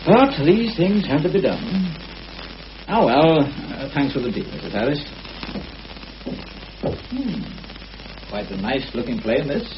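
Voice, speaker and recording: A middle-aged male police inspector, genial but probing. His voice moves in lively swings, with polite hesitations and little hums. It sounds like a dull old radio drama recording. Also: a little high, measured pace, long pauses, noisy background. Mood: affable. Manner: hesitant, polite, mild questioning with small hums. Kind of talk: British radio drama acting.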